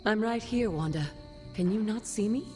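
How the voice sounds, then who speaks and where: A mid-pitched voice, a woman acting a kindly witch spirit in a game cutscene. She is composed and deliberate, with clear music behind her. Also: a little mellow, close to an everyday voice. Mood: gentle.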